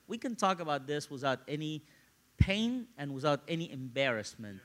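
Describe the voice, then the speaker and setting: A man, preaching in an animated, conversational storytelling style and repeating phrases for emphasis. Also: fairly high pitch. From Egypt, grew up in Cairo. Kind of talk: sermon with personal storytelling.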